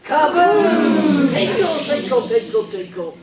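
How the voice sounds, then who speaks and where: Over a loud audience in an echoing room, a man performs comic spoken sound effects for a radio spoof. The voice is extremely high, delivering booms and tinkles one at a time. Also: big pitch swings, slow, distant mic, over a noisy crowd, full character voice. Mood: exaggerated, comic. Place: convention stage before an audience.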